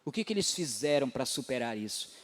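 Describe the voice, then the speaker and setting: A man in his forties preaching a sermon as pastor, building to emphatic rises and dropping low again. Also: big pitch swings, a little fast. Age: 40s. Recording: long hall reverb.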